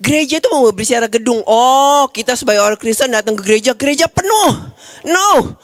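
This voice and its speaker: A woman preacher giving a sermon in a church hall, lively and coaxing the congregation directly. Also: high voice, a little fast. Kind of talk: sermon with audience games.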